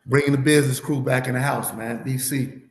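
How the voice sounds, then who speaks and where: A man in his thirties or forties hosting a sports podcast, losing his voice, rambling and animated as he tells stories in casual chat. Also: medium pitch, a little fast, reverberant. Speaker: podcast host.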